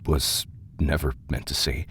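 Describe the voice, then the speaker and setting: Audio drama investigator: extremely low and unhurried, grave and haunted, halting, dropping toward a hush. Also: male voice, little pitch movement, a little hiss or hum, full character voice. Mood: grave, brooding. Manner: halting, ominous, hushed at times. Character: grave, uneasy male investigator reading out his letter.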